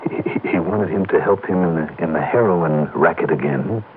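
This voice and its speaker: An actor playing an urgent, persistent American insurance investigator in a classic radio detective drama. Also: male voice, low voice, moderate pace, natural voice. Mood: urgent, soothing. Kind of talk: 1950s radio detective acting. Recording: noisy, tinny old radio recording.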